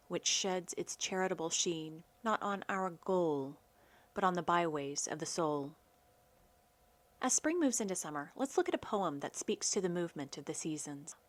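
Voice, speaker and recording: A woman hosting a poetry program, reading scripted narration and verse with measured, deliberate phrasing. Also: fairly low pitch. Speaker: poetry program host and reader.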